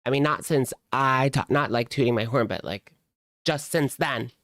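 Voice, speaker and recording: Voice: mid-range voice, normal speed, fairly bright tone, dry recording. A streamer giving casual reaction commentary in a gossipy, sardonic, conversational way.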